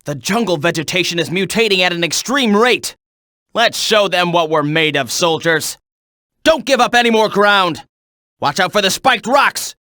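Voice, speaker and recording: An actor voicing a military soldier in a video game, giving urgent, clipped combat reports and orders. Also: male voice, high voice, animated, moderate pace, bright, forceful tone, no room sound, clean studio sound. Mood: grim, resolute. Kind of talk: video game battle voice clips.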